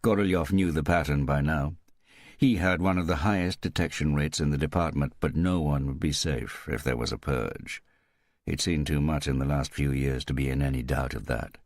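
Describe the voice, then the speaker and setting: Very deep-voiced male audiobook narrator. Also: moderate pace, dry recording, studio quality. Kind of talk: scripted novel narration.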